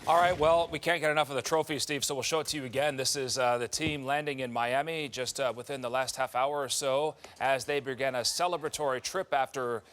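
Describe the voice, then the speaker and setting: A man presents the news as a studio anchor. His voice sits at a middle pitch as he talks through footage and stories. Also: steady tone, brisk, hardly any pauses, fairly bright tone.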